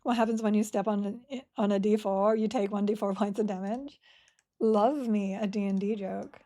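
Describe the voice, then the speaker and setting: A woman at her desk mic, chatting casually while she plays a game live on stream. Her voice is mellow and soft-edged at an everyday pitch. She talks in a rambling, giggly way, trailing off and picking up again. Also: steady tone, moderate pace. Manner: chatty, rambling, giggly, reads chat aloud.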